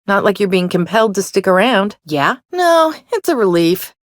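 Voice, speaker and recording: Sardonic young female would-be outlaw for a game, played by a woman: wry, theatrical, very lively intonation, dry studio sound. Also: mid-range voice, normal speed, dry recording, lightly acted. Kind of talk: video game character dialogue.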